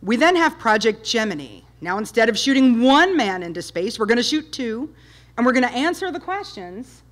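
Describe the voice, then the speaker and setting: A woman in her fifties giving an enthusiastic public talk with slides, loud and emphatic, firing rhetorical questions at the audience. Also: on the high side, sing-song, moderate pace. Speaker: guest speaker, space history presenter. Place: dark lecture hall with projector screen.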